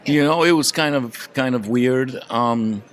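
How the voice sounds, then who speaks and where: Wry man with a medium-pitched voice. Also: unhurried. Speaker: podcast panelist. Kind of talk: casual group chat.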